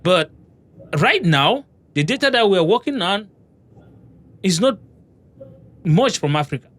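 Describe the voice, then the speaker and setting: A man's voice: sits high, very animated, unhurried, fairly bright tone. A man in a casual discussion, talking with hesitation and lots of restarts. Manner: hesitant, many fillers and restarts.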